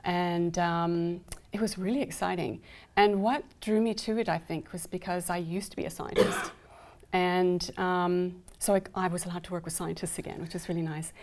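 A woman's voice: on the low side, steady tone, normal speed, a little mellow. A middle-aged woman with a Canadian accent, an academic chatting enthusiastically on a panel. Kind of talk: conversational panel discussion. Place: indoor studio panel set.

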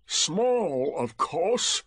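A man's voice: high voice, sing-song, extremely slow, a bit bright, breathy edge, dry recording, pitched above the performer's own voice, exaggerated voice. A man voicing a bitter, gloomy donkey in a storybook, sardonic and dragging. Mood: scornful.

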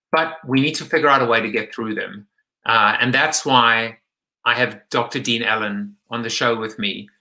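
A man in his forties from South Africa hosting a live online show from home on a webcam, talking in a halting way full of fillers. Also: mid-range voice, bright, forceful tone, low-quality recording. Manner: halting, many fillers and restarts. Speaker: host. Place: home room with lamp and blinds. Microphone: webcam at desk.

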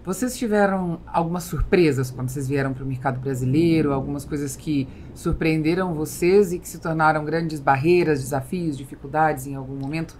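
Low-voiced Brazilian woman hosting. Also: brisk, hardly any pauses, darkish tone, a little hiss or hum. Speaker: podcast host, interviewer. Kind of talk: conversational interview. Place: small foam-treated podcast studio. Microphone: close podcast microphone on boom arm.

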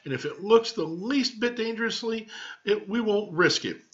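A man's voice: high voice, animated, moderate pace, muffled recording, voice pushed up. A man voicing a protective, indignant mother beetle in a storybook, firm and fretful.

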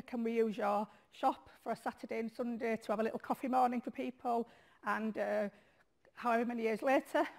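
A woman's voice: fairly high pitch, gravelly. Leeds woman giving a frank keynote. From Leeds, England. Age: middle-aged. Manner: frank, self-deprecating, lively storytelling. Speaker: charity chief executive, conference speaker. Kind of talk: conference keynote talk. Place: large conference hall, on stage at a lectern.

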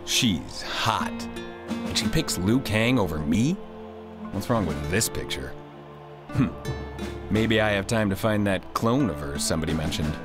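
An actor playing a cocky, vain action star fighter in a video game, brash, casual and smug. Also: male voice, deep voice, animated, unhurried, long pauses, over music. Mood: miffed, smug. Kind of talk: video game cutscene acting.

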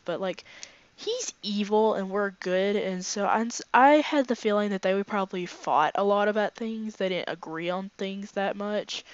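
A young woman with a raspy voice that is fading from illness, chatting casually through a let's play with lots of fillers and asides. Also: mid-range voice, dry recording. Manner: rambling, filler-heavy, chatty. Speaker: gaming channel host. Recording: slightly dull lo-fi home recording.

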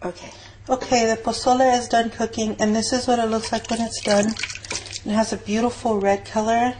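A woman's voice: fairly high pitch, little pitch movement, moderate pace. A woman giving a casual, rambling, unscripted cooking demonstration as a home cook in her kitchen. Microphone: handheld camera mic. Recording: noisy amateur camera audio with clicks.

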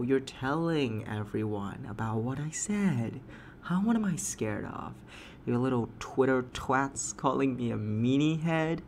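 A man plays a cruel, self-satisfied male landlord in a satirical audio roleplay. His middle-pitched voice is full of fry as he talks down to a tenant with taunts and mocking interjections. A little background noise sits under the recording. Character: smug, cruel landlord sneering at a struggling tenant.

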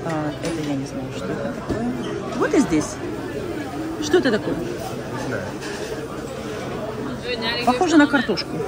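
A woman vlogging a walk through a ship's buffet, chatting casually and thinking aloud as she films on a phone. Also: medium pitch. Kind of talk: casual walking tour narration. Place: cruise ship buffet restaurant, indoors. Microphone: handheld phone camera. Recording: loud crowd noise around.

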